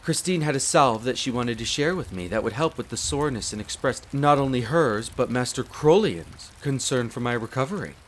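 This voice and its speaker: A man voicing a courteous, devoted butler in an audio roleplay, speaking in a formal, polite and measured way straight to the listener. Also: mid-range voice, natural voice. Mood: composed, sincere. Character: courteous, devoted demi-human butler in a vampire's castle. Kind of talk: scripted roleplay addressed to the listener. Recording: rain noise behind the voice. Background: steady rain of a storm.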